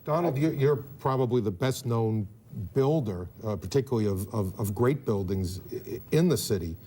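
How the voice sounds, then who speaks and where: An American man hosting a live news interview, asking questions in a halting, deliberate way and repeating words mid-sentence. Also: mid-range voice, animated, dark tone, slight background noise.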